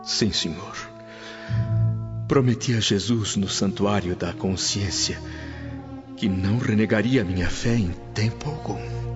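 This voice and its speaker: A man plays a steadfast, devout freedman in prison in a radio drama. His lowish voice is solemn and reverent, full of humble gratitude, in dull audio with music clearly behind. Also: measured pace, long pauses, natural voice.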